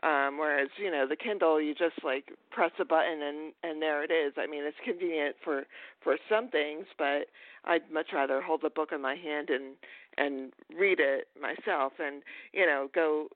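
A man's voice: fairly high pitch, moderate pace, dry recording. A man hosting a casual interview over a phone call, speaking in a hesitant, rambling way. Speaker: podcast host, interviewer. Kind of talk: casual chat. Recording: tinny narrow phone line.

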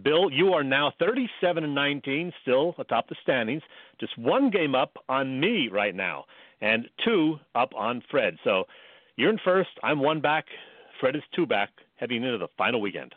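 An American man co-hosting casual sports radio, talking in a measured, explanatory way. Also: mid-range voice, big pitch swings, no echo. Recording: dry, phone-like narrowband radio sound.

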